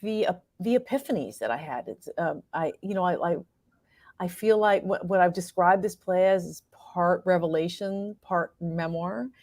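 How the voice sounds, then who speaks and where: Low-voiced woman, halting and reflective. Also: darkish tone, no room sound, clean studio sound. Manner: halting, reflective, searching for words. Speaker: interviewed playwright and performer. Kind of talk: conversational interview answers.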